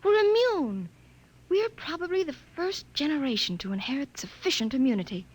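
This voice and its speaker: An American actress playing a tender young wife full of wonder and hope, with breathy, earnest 1950s radio drama delivery. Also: female voice, sits high, big pitch swings, moderate pace. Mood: excited, hopeful. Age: young adult. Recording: noisy 1950 radio transcription.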